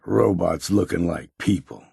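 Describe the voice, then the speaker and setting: A crusty old male hunter from a video game, his voice thick with heavy gravel and husky breath. He speaks slowly in folksy, plain words, like a hard-drinking companion of few illusions. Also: low voice, mellow, no echo, studio quality, heavily acted. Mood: wary, disapproving. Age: older. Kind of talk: video game character dialogue.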